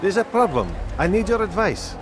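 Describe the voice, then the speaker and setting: Male townsman, video game, high voice, animated, cowardly, pleading, nervous, loud music underneath. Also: moderate pace. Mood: worried.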